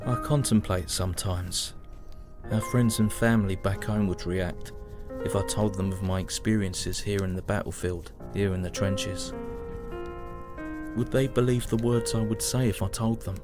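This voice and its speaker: Casting: male actor as weary young British Great War soldier, lowish voice, measured and reflective journal monologue over prominent music. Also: steady tone, natural voice. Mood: sombre, reflective. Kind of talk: dramatic first-person audio drama monologue.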